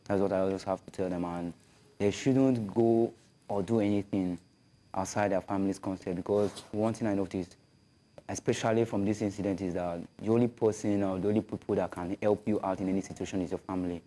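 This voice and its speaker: A man's voice: deep voice, fairly even intonation, a little fast, dark tone. A twenty-year-old man giving earnest advice in an interview. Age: 20s. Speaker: rescued youth interviewee.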